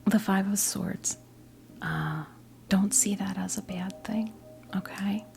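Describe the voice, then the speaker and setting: Whispering American woman in her fifties, creaky. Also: medium pitch. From New Jersey, United States. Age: 50s. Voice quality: soft breathy whisper. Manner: gentle, reassuring, hesitant unhurried phrasing. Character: kindly, calming witch tarot reader. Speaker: ASMR performer role-playing a tarot reader. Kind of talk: ASMR role play, whispered one-on-one tarot reading.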